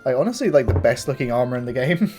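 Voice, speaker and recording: A man with a gravelly morning voice, casually commenting on his game as he plays, thinking aloud with fillers and restarts. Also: medium pitch, animated, fast, darkish tone, faint music behind. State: tired morning voice. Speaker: gameplay commentator. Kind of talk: casual let's-play commentary.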